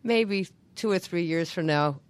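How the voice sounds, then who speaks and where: A woman in her fifties, a stage actor with a smoky, husky voice, answering interview questions in a halting way with many restarts. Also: lowish voice, dry recording. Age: 50s. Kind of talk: interview guest.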